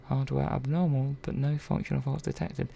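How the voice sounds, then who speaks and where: Man playing a soft, creaky-voiced robot technician. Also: mid-range voice, little pitch movement. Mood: curious, puzzled. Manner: unhurried, murmuring, thinking aloud with hesitant asides. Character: curious, gently chatty robot repair technician. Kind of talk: soft-spoken ASMR roleplay. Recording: faint background noise.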